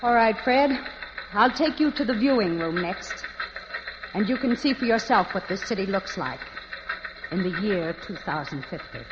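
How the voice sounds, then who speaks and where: An actress playing a brisk, composed female army lieutenant of the future in a 1950s radio drama, matter-of-fact as she explains. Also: medium pitch, animated, natural voice. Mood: explanatory. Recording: noisy, muffled old radio recording.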